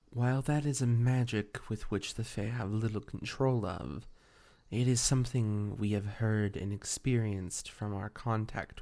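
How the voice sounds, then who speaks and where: A man playing a devoted, ancient fey familiar spirit in an intimate roleplay audio, speaking formally and tenderly with measured, archaic diction. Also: lowish voice, creaky, mellow. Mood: thoughtful.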